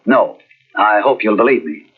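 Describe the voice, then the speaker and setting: A wry, husky murder suspect. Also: male voice, fairly low pitch, animated, normal speed. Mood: polite. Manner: dry, wry. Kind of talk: 1940s radio acting. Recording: tinny old radio recording.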